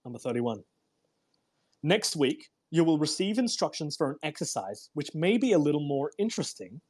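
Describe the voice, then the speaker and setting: A man with a husky voice hosting a read-aloud, delivering a book in an emphatic, deliberate way with informal commentary. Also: on the high side. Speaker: host reading a self-help book aloud. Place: live online audio room broadcast.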